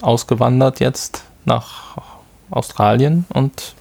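A man co-hosting a podcast, relaxed and explaining things with light laughter. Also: mid-range voice, slow, creaky. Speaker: podcast co-host. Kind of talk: casual chat.

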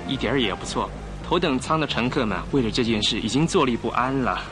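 Man acting calm ordinary passenger, reassuring manner, mid-pitched, radio play, clear music bed. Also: fast, lightly acted. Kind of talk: old-style radio drama acting.

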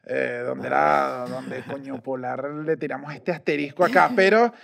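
A man from Venezuela co-hosting a casual podcast chat, an animated storyteller. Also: medium pitch, normal speed, vocal fry. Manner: animated storyteller, acts out quotes. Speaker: podcast co-host.

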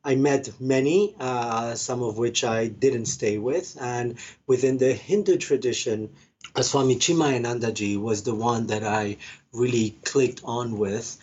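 A man's voice: medium pitch, measured pace, darkish tone, breathy edge. A middle-aged man from Argentina telling his own story reflectively as an interview guest, often restarting as he thinks. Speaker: podcast guest, meditation teacher and professor. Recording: slightly dull, band-limited sound.

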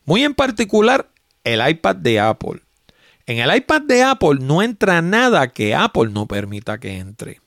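Lively Puerto Rican man in his sixties. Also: on the high side, a little slow, no room sound, clean studio sound. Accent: Puerto Rican Spanish. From Puerto Rico. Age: 60s. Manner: chatty, explanatory, plain-spoken. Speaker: tech podcast host. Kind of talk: solo podcast, reading listener mail.

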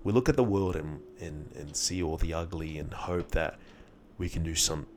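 A man's voice: extremely low pitch, moderate pace, a little hiss or hum. A young man from Iraq who moved to Australia as a child, talking casually and candidly in a reflective personal monologue with slang and swearing. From born in Iraq, moved to Australia as a child. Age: young adult.

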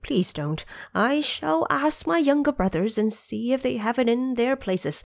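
Elderly dragon king played by a woman, nervous, deferential and placating, with a very changeable pitch and dry sound, for fantasy audio drama. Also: lively intonation, a little slow, vocal fry, no room sound. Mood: frightened, begging. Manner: pleading, hesitant, deferential. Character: anxious old male dragon king, placating and courteous.

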